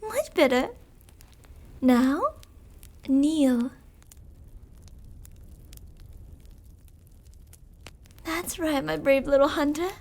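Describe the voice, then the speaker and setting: An ancient female vampire in an intimate ASMR roleplay. Her voice sits extremely high and she draws her words out slowly. She is seductive, possessive and cruel, giving orders in a coaxing, controlling way. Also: lively intonation, a little mellow, exaggerated voice. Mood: commanding. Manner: slow, commanding, coaxing, with whispered endings.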